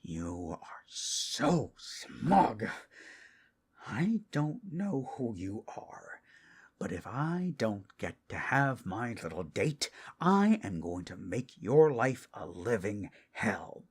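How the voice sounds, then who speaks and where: A man voicing an obsessive, menacing male kidnapper in a creepy audio roleplay, with a slow, threatening murmur. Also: fairly low pitch, very animated, breathy edge, voice pushed down, exaggerated voice. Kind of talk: creepy ASMR roleplay acting.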